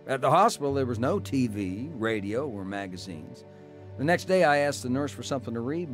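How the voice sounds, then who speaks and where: Faint music sits behind a troubled, plain-spoken husband played by a man. His medium-pitched voice is earnest, with a stubborn streak, in the style of a radio drama. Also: natural voice. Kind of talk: radio drama narration and dialogue.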